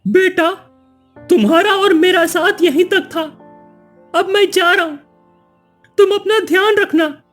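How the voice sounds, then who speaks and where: Dying mother bird, played by a woman: cartoon fable, extremely high, tender, resigned, soft music behind. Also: unhurried, pauses often. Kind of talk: cartoon moral story acting.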